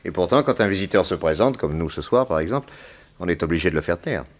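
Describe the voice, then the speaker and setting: A calm detective with a low voice, in a 1960s French radio play. He questions methodically, deliberate and courteous, always probing.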